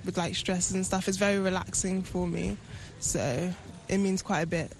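A teenage girl with a London accent giving soft, hesitant interview answers. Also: lowish voice, fairly even intonation, normal speed, a little hiss or hum. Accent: London English. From England. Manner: soft-spoken, hesitant, many fillers. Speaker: interviewed athlete.

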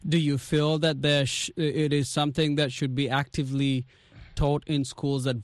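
A man's voice: a little high, flat delivery, no room sound. Male radio host in a dry studio. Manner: halting, hesitant phrasing with repeated words. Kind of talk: live radio interview. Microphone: studio microphone.

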